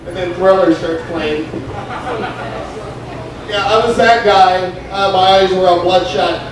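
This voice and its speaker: A young man doing stand-up comedy in a restaurant, rambling and halting with many fillers, and acting out a stoned, forgetful waiter. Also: high voice, fairly even intonation. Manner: acts out a stoned, scatterbrained waiter. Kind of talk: open-mic stand-up comedy. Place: Mexican restaurant with a live crowd. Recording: very echoey room, distant mic, crowd noise.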